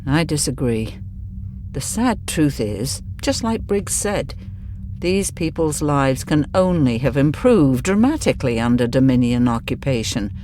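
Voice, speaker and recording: Man playing an earnest mid-pitched starship officer. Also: animated, measured pace, a little mellow, natural voice. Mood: earnest, disagreeing. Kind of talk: science fiction audio drama acting. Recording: faint background ambience noise.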